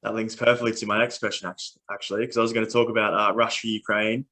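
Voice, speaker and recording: Bright, flat-toned male podcast host. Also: fairly low pitch, fast talker. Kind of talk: interviewer.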